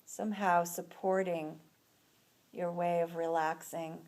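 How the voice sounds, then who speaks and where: A woman leading a guided relaxation as an instructor, speaking in a soothing, unhurried manner with long pauses between phrases. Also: on the low side, little pitch movement, a little mellow.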